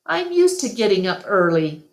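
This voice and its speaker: A woman's voice: medium pitch, sing-song, normal speed, echoey room, voice pushed up. A woman voicing a patient, easygoing adult male gorilla in a storybook, with a hesitant, explaining manner. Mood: mild.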